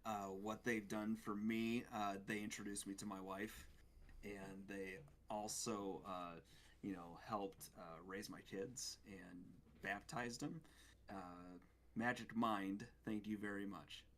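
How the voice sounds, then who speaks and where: A man co-hosting a casual podcast, talking in a halting, deadpan way. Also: lowish voice. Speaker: podcast co-host.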